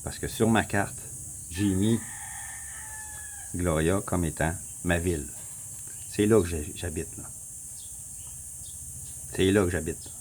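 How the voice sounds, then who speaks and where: A man with a Québécois accent, casually walking viewers through a map on his screen, rambling, repeating himself and clearing his throat often. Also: low voice, slow, pauses often, darkish tone, slight background noise. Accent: Québécois French. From Quebec. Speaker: vlogger. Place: home in the Philippines.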